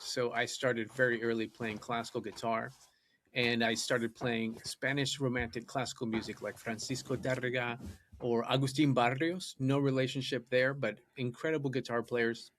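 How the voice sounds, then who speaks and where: A man's voice: medium pitch, fairly bright tone, dry recording. A man whose first language is Japanese, a podcast guest telling his story in an earnest, eager way. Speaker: guest, data scientist and musician. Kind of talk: podcast interview.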